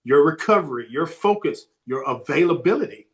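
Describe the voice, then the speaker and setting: A man answering as a sports recruiting coach on a podcast, emphatic and repeating his key points, his voice slightly hoarse. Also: fairly high pitch, animated, brisk, over a noisy crowd.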